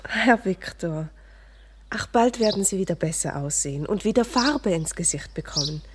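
Eine Schauspielerin spielt in einem Hörspiel ein fürsorgliches, respektvolles Hausmädchen, das besorgt und gesprächig redet. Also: female voice, on the low side, expressive, moderate pace, natural voice. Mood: solicitous. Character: kindly, fussing, deferential household maid. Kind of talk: radio drama dialogue. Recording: animal sounds and noise behind.

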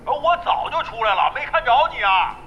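An actor playing a crude, cocky older veteran gamer in an audio drama, brash, taunting and long-winded. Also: male voice, extremely high pitch, sing-song, normal speed, muffled recording. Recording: long reverb, music behind.

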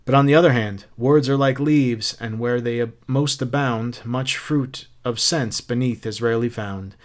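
A man's voice: mid-range voice, moderate pace, lightly acted. A man voicing a smug, taunting fire captain in a story, mocking and theatrical as he spouts literary quotations. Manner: theatrical, mocking, quote-laden speech.

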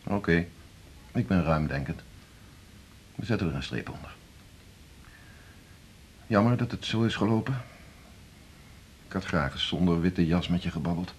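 An actor playing a domineering, condescending senior hospital doctor in a radio drama. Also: male voice, low-pitched, animated, normal speed, pauses often, a little hiss or hum. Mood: awkward, subdued. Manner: pointed, condescending, commanding. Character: domineering, prying male senior hospital doctor, needy underneath. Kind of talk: 1980s Dutch radio drama acting.

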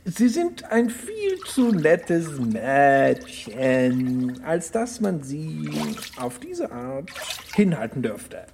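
Giddy young lady in a radio play, very slow, voice all over the place, bit of noise. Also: sits low, huge pitch swings, gravelly, a little mellow, full character voice. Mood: giddy, pointed. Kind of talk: radio drama acting.

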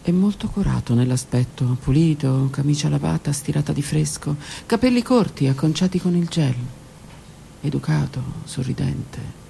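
A woman's voice: sits low, animated, moderate pace, creaky, warm tone, a little hiss or hum. A woman narrating her own literary text for a radio theatre piece, intimate and often dropping to a whisper. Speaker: author and narrator.

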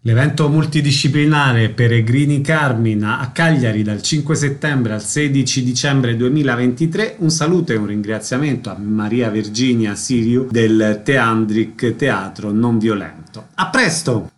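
A man's voice: medium pitch, moderate pace, hardly any pauses. A man hosting a radio interview, reading announcements and asking short questions.

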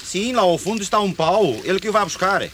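A man's voice: sits high, fast talker, natural voice. An actor playing a Portuguese fisherman, a native Portuguese speaker giving brief, practical orders in a radio drama. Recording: very noisy sloshing water ambience.

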